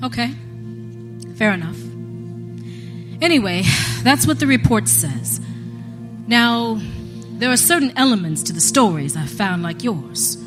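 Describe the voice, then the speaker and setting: An actress playing a calm, persistent FBI special agent in a live audio drama, measured and coaxing as she questions. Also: a little high. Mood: reassuring, measured. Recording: live stage recording with music behind.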